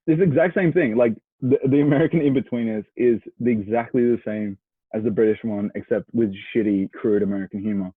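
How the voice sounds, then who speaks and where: A man's voice: medium pitch, a little fast, husky, no echo. A young Australian man bantering casually and bluntly as a podcast co-host, swearing freely and full of opinions. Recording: muffled phone-like radio sound.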